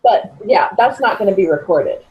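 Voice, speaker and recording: A woman's voice: medium pitch, animated, normal speed, highs cut off, noisy background. A woman directing a remote recording session, giving informal, plain step-by-step instructions in a directive way. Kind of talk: informal spoken instructions on an online call.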